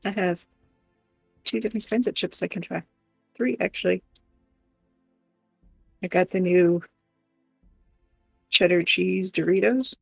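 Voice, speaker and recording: A woman with a gravelly, husky voice chats casually on a livestream. She rambles and giggles as she goes, over faint background music. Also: on the low side, measured pace, long pauses, dry recording, poor audio. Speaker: live stream co-host. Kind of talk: casual livestream chat while crocheting.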